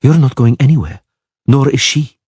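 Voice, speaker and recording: A man's voice: medium pitch, moderate pace, slightly rough, dry recording, clean studio sound, voice pushed up, close to an everyday voice. A man voicing a proud, fierce young female dryad archer who speaks curtly and with hostility. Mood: hostile. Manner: sharp, curt and hostile.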